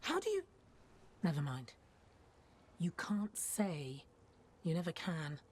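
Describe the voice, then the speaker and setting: A woman's voice: on the low side, huge pitch swings, takes it slowly, a little mellow, breathy edge, full character voice. A woman voicing a dutiful, guarded templar officer in a video game, dry and clipped with wry exasperation. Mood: surprised, exasperated. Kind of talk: video game cutscene acting.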